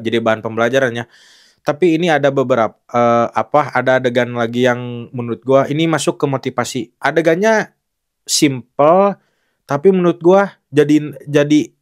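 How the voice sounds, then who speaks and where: A young man giving casual, frank commentary on film acting, talking loosely with many fillers and restarts. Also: mid-range voice.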